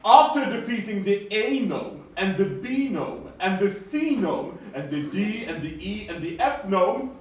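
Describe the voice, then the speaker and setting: A man's voice: high voice, big pitch swings, a little slow, few pauses, slight background noise. A man voicing a blustering, paranoid mustached goblin hunter in a live puppet show. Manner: blustering, paranoid comic ranting. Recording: echoey hall from far off.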